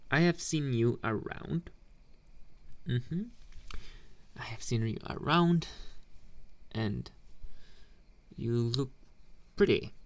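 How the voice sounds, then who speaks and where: Man acting a slow-talking teasing delinquent bully boy. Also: mid-range voice. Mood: softer, hesitant. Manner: taunting, drawn-out, questioning hums. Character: teasing delinquent anime bully boy, secretly soft-hearted. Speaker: ASMR roleplay performer. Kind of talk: ASMR boyfriend roleplay, slow intimate speech.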